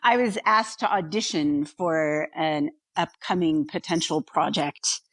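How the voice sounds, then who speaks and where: A woman's voice: low voice, a little slow, dry recording. A woman co-hosting a podcast in casual conversation. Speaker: podcast co-host.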